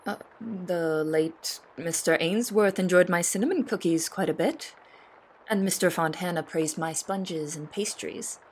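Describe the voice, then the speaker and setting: A young woman playing a reserved, proud cook of nineteen, speaking in a measured, formal, literary manner in an audio drama. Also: on the low side, a little mellow, a little hiss or hum, close to an everyday voice. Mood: reserved, deferential. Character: reserved, proud, observant 19-year-old female cook. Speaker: voice actor and narrator. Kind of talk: dramatic first-person scripted narration.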